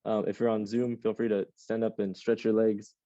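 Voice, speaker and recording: Man, young adult, computing instructor, casual rambling lecture, lots of ums, slightly hoarse, mellow tone, dull lo-fi sound. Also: on the low side, level tone, normal speed, no room sound. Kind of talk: live online class lecture. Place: classroom with a Zoom audience.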